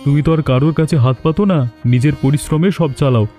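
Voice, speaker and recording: Man as loyal cartoon workshop helper, raised pitch, mellow tone, consoling, faint music. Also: quick pace, close to an everyday voice. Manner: earnest, consoling. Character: loyal, encouraging young male garage helper. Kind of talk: animated story dialogue.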